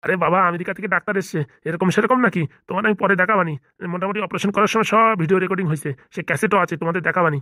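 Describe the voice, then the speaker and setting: Guy doing cartoon doctor man, talks super fast, chatty n friendly, bit bossy, dry sound. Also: high-pitched, pushed and bright, no room sound. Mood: bossy, reassuring. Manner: rapid, informal, familiar. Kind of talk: comedy cartoon dubbing in colloquial dialect.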